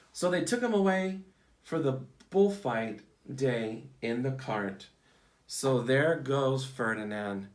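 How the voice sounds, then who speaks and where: A man reading a picture book aloud to children in simple, teacherly phrasing, prompting listeners as he goes. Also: a little high. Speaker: read-aloud storyteller for children. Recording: echoey, roomy sound.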